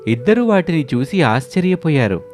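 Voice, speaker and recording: A man tells a cartoon story as scripted narration. His voice sits at a middle pitch. Music plays underneath. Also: very animated, moderate pace, a little mellow.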